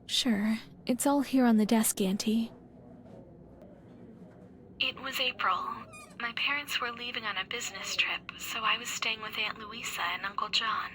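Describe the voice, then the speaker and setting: A soft-spoken young woman in a video game, an orphaned niece. Her voice is fairly light, and she talks in a subdued, wistful, recollecting way. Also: on the high side, steady tone, measured pace, slight background noise. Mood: sombre, helpful. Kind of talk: dramatic video game acting.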